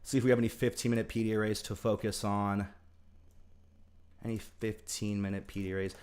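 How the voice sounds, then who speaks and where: A young man casually chatting on a livestream. He greets viewers by name and thinks aloud with plenty of fillers. His speech sounds a bit off from the teeth whitening strips he has in. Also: on the low side. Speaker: trading livestreamer.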